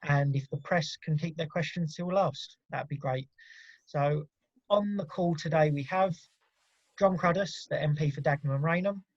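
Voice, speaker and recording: Higher-voiced man facilitating, muffled audio. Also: moderate pace, no echo. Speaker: meeting facilitator, political staffer. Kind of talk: informal meeting introduction. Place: online video meeting.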